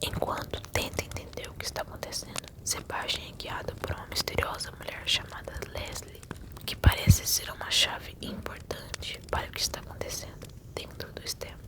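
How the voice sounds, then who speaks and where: Brazilian woman, fully whispered ASMR storyteller, soothing and intimate direct address to help a listener sleep. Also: moderate pace. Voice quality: whispered, breathy, unvoiced.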